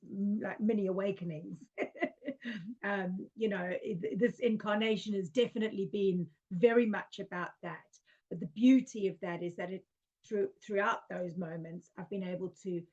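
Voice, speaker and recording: A woman in her forties, originally from South Africa, speaks in a soft, heartfelt way. She circles around her points with fillers and restarts, at a middling pitch. The sound comes over a video call and is a touch dull and lo-fi. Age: 40s. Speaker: guest. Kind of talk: casual spiritual conversation.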